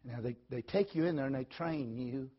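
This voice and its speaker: A man, preaching in a folksy storytelling way, repeating phrases and swinging between loud and soft. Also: mid-range voice, moderate pace. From Tennessee, USA. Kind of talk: sermon storytelling. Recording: dull lo-fi recording.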